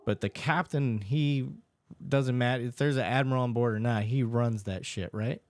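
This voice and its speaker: A man's voice: medium pitch, moderate pace, no room sound, studio quality. A man hosting a podcast, asking curious, blunt questions in casual chat and swearing freely. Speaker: podcast host.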